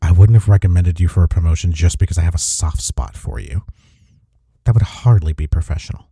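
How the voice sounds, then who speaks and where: A man playing a smitten office rival in an intimate romantic audio roleplay, with a husky, mellow voice and a halting, teasing manner. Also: low-pitched, dry recording, studio quality. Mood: flustered. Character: flustered, smitten male office rival confessing attraction.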